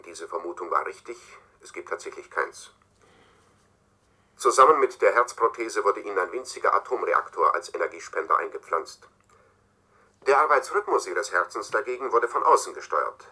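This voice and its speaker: Sharp, husky, cold male scientist. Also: deep voice, full character voice. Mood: detached, explanatory. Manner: formal, measured, matter-of-fact. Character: cool, domineering male scientist leaving recorded instructions to successor. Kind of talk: German science-fiction radio play monologue.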